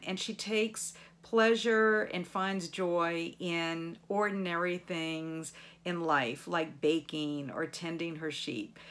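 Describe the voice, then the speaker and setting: A middle-aged woman hosting a book channel, chatting casually to viewers with enthusiastic, expressive emphasis. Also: mid-range voice. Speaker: book vlogger and channel host.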